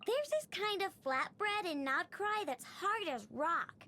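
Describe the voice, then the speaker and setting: A woman's voice: very high-pitched, very animated, unhurried, bright, forceful tone, exaggerated voice. A woman voicing a perky, opinionated young girl in a video game, emphatic and melodramatic. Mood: offended. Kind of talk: scripted game character monologue.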